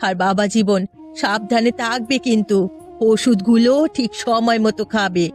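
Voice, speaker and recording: একজন মহিলার অভিনয়ে গ্রামের মমতাময়ী, উদ্বিগ্ন মাঝবয়সী শাশুড়ি. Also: female voice, on the high side, fairly even intonation, normal speed, soft background music, close to an everyday voice. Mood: relieved, caring. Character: anxious, upright middle-aged village mother-in-law. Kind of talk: cartoon voice acting.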